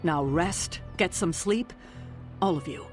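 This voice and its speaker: An actress playing a stern, resolute female leader in a video game, measured and commanding. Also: on the low side, faint music behind. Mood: firm, resolute. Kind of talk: dramatic video game acting.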